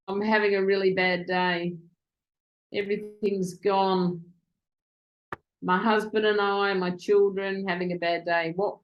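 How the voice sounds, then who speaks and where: A woman interviewing virtual assistants on a video call, excitable and quick to speed up when she gets going. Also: medium pitch, dull audio.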